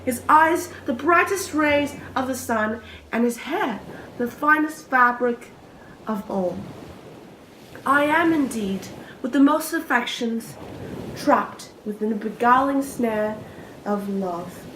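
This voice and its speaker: A woman's voice: a little high, slow pace, fairly bright tone. A young woman performing an innocent, lovestruck maiden in an earnest, theatrical Shakespearean soliloquy. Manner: earnest, declamatory, archaic diction. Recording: echoey room with faint noise.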